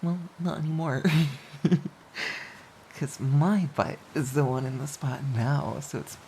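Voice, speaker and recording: A woman playing a playful, affectionate, teasing partner in an intimate audio roleplay of soft pillow talk. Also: low-pitched, takes it slowly. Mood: cosy, smug. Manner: teasing, giggly, mock-pouty. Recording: faint background hiss.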